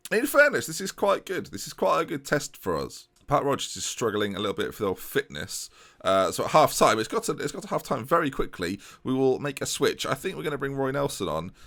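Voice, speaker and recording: A young man giving chatty, excitable commentary over a video game, often tripping over his words and joking at himself. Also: medium pitch, brisk, creaky. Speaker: gaming YouTuber. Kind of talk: casual let's-play commentary.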